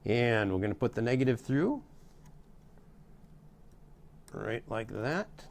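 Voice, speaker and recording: A man's voice: mid-range voice, very animated, normal speed, creaky. A middle-aged man giving a casual, hands-on tutorial in a folksy, step-by-step way. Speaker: how-to host demonstrating a repair.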